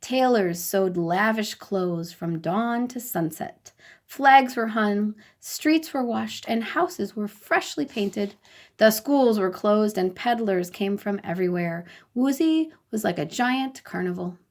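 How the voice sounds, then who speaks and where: A woman storyteller reading a children's bedtime story in a deliberate, expressive way. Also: mid-range voice.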